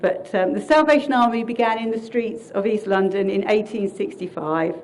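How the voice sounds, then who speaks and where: A loud-voiced woman minister talks a council meeting through a short history, friendly and explanatory, mostly reading from her notes. Her pitch sits in the middle of the female range. A faint hiss runs under the recording. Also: moderate pace. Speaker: Salvation Army minister. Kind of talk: community presentation at a council meeting.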